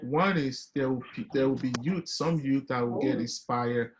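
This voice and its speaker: Nigerian man, guest on a webinar call, mid pitch, earnest and motivational, loose and filler-heavy. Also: normal speed, noisy background. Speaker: guest panelist. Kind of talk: casual webinar discussion.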